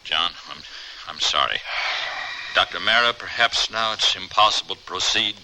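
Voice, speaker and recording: An apologetic, uneasy seance guest in a radio drama. His voice is fairly low but lively in pitch. The old recording is noisy. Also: moderate pace. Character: hesitant, apologetic man attending a seance.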